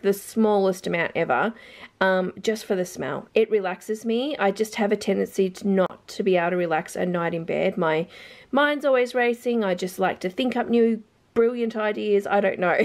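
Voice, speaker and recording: A woman's voice: mid-range voice, vocal fry. An Australian woman, a mother in her thirties, chatting casually to the camera at home as she shows off her grocery bargains, rambling and thinking aloud about prices. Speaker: vlogger mum showing a grocery haul. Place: home, indoors, carpeted floor. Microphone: camera held over products, filming hands.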